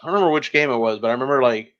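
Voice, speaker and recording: A man's voice: medium pitch, racing, a bit bright. A man co-hosting a podcast in casual chat, recorded with slightly dull, lo-fi sound. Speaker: podcast co-host.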